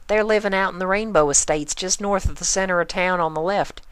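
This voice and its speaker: A woman's voice: lowish voice, fairly quick, clean tone, no room sound, pitched above the performer's own voice, lightly acted. A woman voicing a friendly, forthright young farm mare in a cartoon story, with a Southern US accent. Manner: folksy, forthright.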